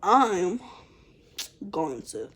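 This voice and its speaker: A young woman vlogger who stutters, talking haltingly to her viewers with many pauses and restarts, calling them y'all. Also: fairly low pitch, sing-song, breathy edge. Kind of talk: personal vlog, talking to viewers.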